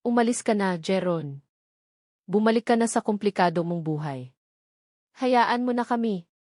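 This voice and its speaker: A woman's voice: mid-range voice, expressive, moderate pace, dry recording, studio quality, natural voice. Cold, defiant young Filipino mother, acted by a woman. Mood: angry, defiant. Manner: cold, sharp, accusing. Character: wounded, defiant young single mother, guarded and sarcastic.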